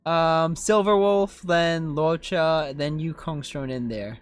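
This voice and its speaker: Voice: mid-range voice, slow, dry recording. A game streamer giving casual live commentary in an informal, self-questioning way. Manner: informal, self-questioning, often ends with "right?".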